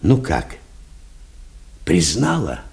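An actor playing a sly, menacing soldier in a radio play, questioning in an insinuating, unhurried way. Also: male voice, sits low, huge pitch swings, vocal fry, a little mellow, heavily acted. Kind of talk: 1970s Soviet radio drama acting.

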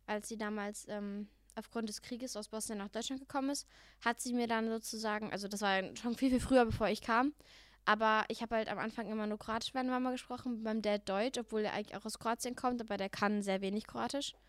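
Chatty eighteen-year-old woman, slightly high. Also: fairly even intonation, fast talker, no echo, clean studio sound. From Germany. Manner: chatty, youthful slang. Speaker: podcast co-host. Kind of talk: casual chat.

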